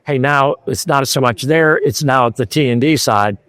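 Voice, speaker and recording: Man, interviewer, chatty, mid pitch, dry close sound. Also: moderate pace, a bit bright, no room sound. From Scotland. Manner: chatty, conversational, hesitant fillers. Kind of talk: trade show interview. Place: trade show floor.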